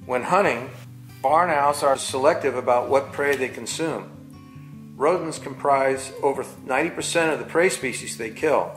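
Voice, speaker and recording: Older man explaining plainly in a roomy room. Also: mid-range voice. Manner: measured, matter-of-fact explaining. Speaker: falconer and barn owl box installer. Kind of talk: scripted educational narration. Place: living room by a fireplace. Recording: roomy sound with faint music.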